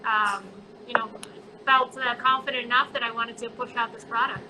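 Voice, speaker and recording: A woman's voice: a little high, fairly even intonation, normal speed, noisy background. A woman who grew up in Colombia, a chocolate maker chatting in an online interview, talking casually with many fillers. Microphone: phone on a live video chat.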